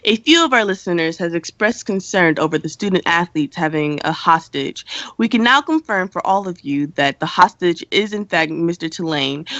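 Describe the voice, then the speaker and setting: A woman's voice: fairly low pitch, a bit bright, no room sound, lo-fi sound. A woman playing a prim, rule-minded student news host in an amateur radio play. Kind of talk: amateur radio play, scripted newscast delivery.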